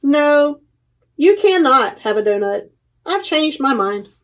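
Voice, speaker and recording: A woman reading a storybook as a stern, grumpy panda, blunt and deliberate. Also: sits high, big pitch swings, full character voice. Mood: curt, grumpy. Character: stern, grumpy panda refusing to share doughnuts.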